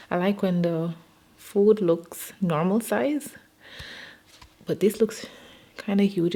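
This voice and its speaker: A woman's voice: lowish voice, creaky, mellow, roomy sound. A woman vlogger chatting casually and rambling to her viewers from home. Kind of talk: casual personal vlog chat.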